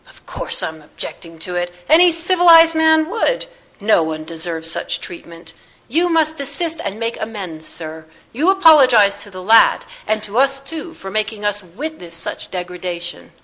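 A woman's voice: lowish voice, animated, moderate pace, hardly any pauses, bright, forceful tone, full character voice, rough recording. A woman voicing an angry, righteous middle-aged Englishman in a storybook, declaiming formally and indignantly. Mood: defiant anger.

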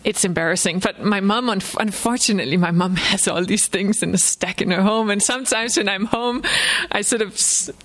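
Danish woman giving an informal author talk. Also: mid-range voice. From Denmark. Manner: halting, frequent self-repetition, chuckles often. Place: live book event before an audience, recorded for a podcast.

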